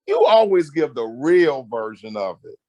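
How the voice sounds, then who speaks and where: A loud, emphatic middle-aged man giving animated, conversational advice as a guest counselor, often repeating phrases to drive them home. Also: a little high, a little slow, no room sound. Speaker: guest marriage counselor and mentor.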